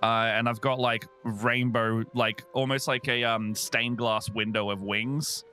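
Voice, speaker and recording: A man's voice: mid-range voice, fairly even intonation, measured pace, pushed and bright. A man playing a tabletop game, chatting casually and jokingly about his character. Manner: playful, joking.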